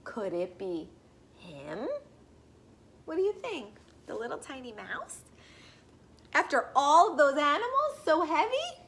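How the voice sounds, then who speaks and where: A woman reading a children's picture book aloud expressively, in a sing-song way, pausing to put questions to young listeners. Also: sits high, sing-song, fairly bright tone, a little hiss or hum.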